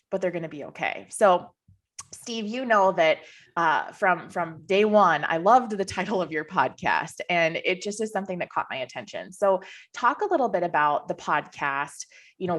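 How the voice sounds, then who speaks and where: A woman's voice: fairly low pitch, fairly bright tone. A woman hosting a podcast, encouraging and conversational, often saying "you know" as she sets up her questions. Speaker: podcast host. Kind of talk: interview host, conversational.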